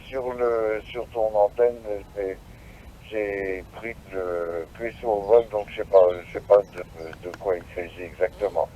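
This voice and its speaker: A man with a French accent chatting as an amateur operator, his voice coming through a two-way radio. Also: fairly low pitch. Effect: received over two-way radio. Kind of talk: radio contact chat. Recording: dull radio link, faint noise.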